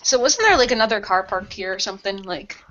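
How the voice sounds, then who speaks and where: A woman's voice: mid-range voice, animated, a little fast, a bit bright, lo-fi sound, a little hiss or hum. A woman improvising casual, slangy, sarcastic banter as a player in a radio role play.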